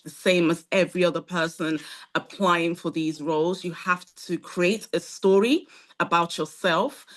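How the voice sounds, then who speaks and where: A woman's voice: on the low side, fairly bright tone. A woman instructor leading a live online masterclass, talking directly and encouragingly to her students, with frequent fillers. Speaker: instructor at a training academy.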